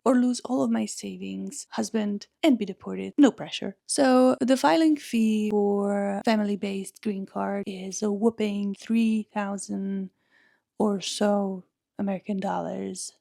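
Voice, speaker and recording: Czech-accented woman, 30s, podcast host; soft, earnest monologue, gravelly and mellow. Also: mid-range voice, dry recording, studio quality. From Czech Republic. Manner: soft-spoken, earnest, wry asides. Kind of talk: personal monologue.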